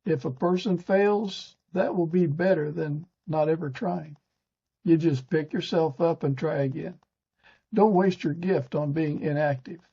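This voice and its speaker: A very husky older man hosts a podcast in a dull, lo-fi recording. His voice sits a little high and he reads his script in a stiff, halting manner, with a soft rather than bright tone. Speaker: podcast host. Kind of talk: scripted narration read from a teleprompter. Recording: dull, lo-fi sound.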